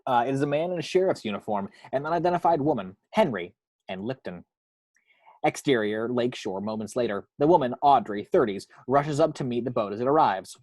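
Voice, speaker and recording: A man narrating the action lines of a screenplay at a table read, in a measured, descriptive way with the odd stumble. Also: medium pitch, expressive, darkish tone, no room sound.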